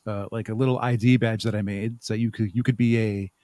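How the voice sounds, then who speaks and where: A man talking casually and thoughtfully as an interview guest, with halting phrasing. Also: on the low side, fairly even intonation, dark tone, dry recording. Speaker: podcast guest, audio drama creator.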